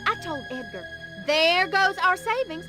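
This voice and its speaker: A plaintive farm wife. Her high, animated voice rambles fretfully in a comic game scene over loud music. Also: a little slow. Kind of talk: comic video game cutscene acting.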